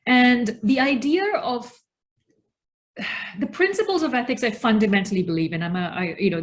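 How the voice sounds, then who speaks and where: A woman from Australia, around her forties, giving a reflective webinar talk as an invited researcher, speaking deliberately and stressing key words. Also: mid-range voice.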